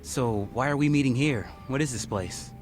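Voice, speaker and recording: A man's voice: mid-range voice, moderate pace, soft background music, lightly acted. An actor voicing a polite, naive, earnest man in his twenties in a video game. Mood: eager, grateful. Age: 20s. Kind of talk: video game cutscene dialogue.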